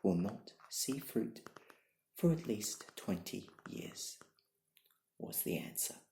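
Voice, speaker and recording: Slow, hushed ASMR storyteller, young adult. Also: expressive. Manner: hushed, deliberate, gentle. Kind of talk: soft-spoken ASMR bedtime story reading with whispering. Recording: low-quality, echoey room recording.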